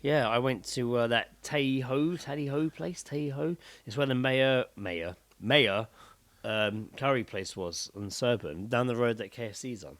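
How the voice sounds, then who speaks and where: A man's voice: medium pitch, a little slow, fairly bright tone, no echo. A man with a southern English accent co-hosting a podcast in casual, jokey, sweary banter. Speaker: podcast co-host.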